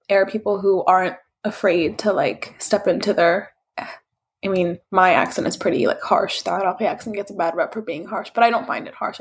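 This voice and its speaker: A woman with a mid-range voice speaks as an interview guest. She talks in a candid, thoughtful way, often pausing and stringing thoughts together with "like" as she explains herself. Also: mid-range voice. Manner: reflective and candid, heavy use of "like". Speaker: interview guest, social media creator. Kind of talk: casual interview chat.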